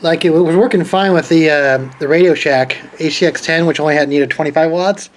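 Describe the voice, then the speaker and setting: A man's voice: on the high side, a little fast. Casual man thinking aloud over noise. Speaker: host of electronics and radio unboxing videos. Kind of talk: casual chat, hands-on demonstration. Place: indoors at a cluttered desk. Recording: noisy home camera recording. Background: clear background noise.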